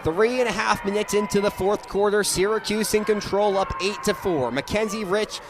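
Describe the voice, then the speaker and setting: A young man giving energetic live radio play-by-play commentary of a sports game. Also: high voice. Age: young adult. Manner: energetic, rises to excited calls on big plays. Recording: live stadium with crowd noise. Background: crowd noise at an outdoor game.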